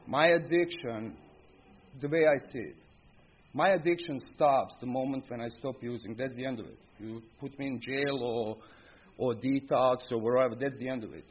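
A man's voice: mid-range voice, moderate pace. A middle-aged man from Croatia with a Croatian accent, telling his personal story at a recovery meeting in blunt, candid, short sentences. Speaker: speaker sharing his story at an Alcoholics Anonymous meeting. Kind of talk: personal recovery testimony, informal spoken storytelling. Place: live talk at a recovery meeting. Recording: dull lo-fi audio with clear background noise.

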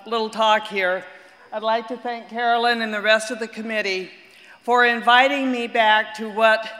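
An older woman with a loud voice giving personal testimony at a recovery meeting. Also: a little high, in a large hall, slight background noise.

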